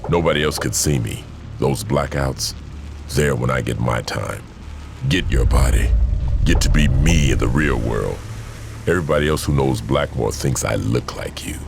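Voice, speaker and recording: An actor playing a cold, menacing male alter ego who taunts in a slow, low, gravelly drawl, in game audio over music. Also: noisy background. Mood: taunting.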